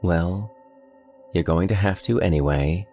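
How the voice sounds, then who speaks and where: A man's voice: low voice, measured pace, warm tone, faint music behind, pitched above the performer's own voice. A man voicing a young college-age daughter who answers patiently and a bit pointedly. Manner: patient, lightly sardonic.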